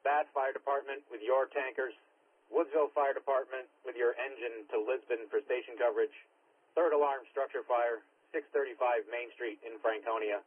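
A man's voice: medium pitch, breathy edge, dry recording. A man working as an emergency dispatcher, giving clipped, procedural calls over a two-way radio, repeating units and times. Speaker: fire and ambulance dispatcher. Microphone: dispatch radio microphone. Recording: narrow, tinny scanner radio audio.

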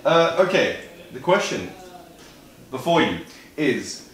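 A man talks at a table with friends, often stumbling on restarts as he steers the chat. His voice sits at an ordinary pitch. The room around him rings with heavy echo. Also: big pitch swings, slow pace, fairly bright tone. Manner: stammering restarts, steers the topic. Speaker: podcast co-host. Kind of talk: casual group chat. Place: living room around a table, small group. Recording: very echoey room.

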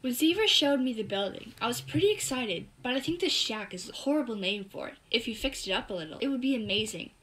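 Clever, bossy young girl, played by a female performer, high voice, confident explainer in an audio drama. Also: lively intonation, fast, few pauses, fairly bright tone, rough recording. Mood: eager. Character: eager, bossy, clever young girl recounting her discovery. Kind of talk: scripted storytelling, audio drama.

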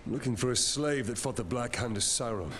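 A man's voice: mid-range voice, a little fast, fairly bright tone, a little hiss or hum, natural voice. An actor playing a grim, hardened human ranger in a fantasy game, terse and wry in his delivery. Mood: stern. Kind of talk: cinematic fantasy game acting.

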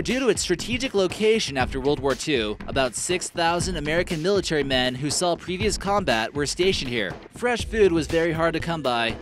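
Guy doing a top-ten style voiceover, bit high voice, music playing under it, kinda wry. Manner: casual, lightly wry asides. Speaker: narrator of a countdown video. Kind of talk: scripted narration. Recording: voice-over with background music.

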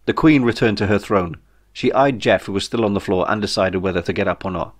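Man reading his novel out loud, lowish voice, wry storyteller. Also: fairly quick. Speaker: author reading own novel. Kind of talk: scripted audiobook narration.

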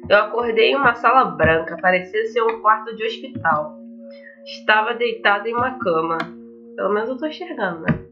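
A woman's voice: on the high side, lively intonation, fairly quick. A young adult woman with a Brazilian accent, hosting a casual let's play, chatting to viewers and reading game text aloud. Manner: chatty, addresses viewers with frequent tag questions. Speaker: gaming video host. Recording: slightly dull mic over game music. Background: faint game music.

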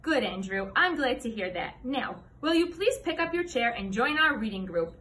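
A woman reading the part of a brisk, orderly female schoolteacher in a storybook, giving polite but firm instructions. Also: on the high side, big pitch swings, fairly bright tone, a little hiss or hum. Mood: firm, expectant.

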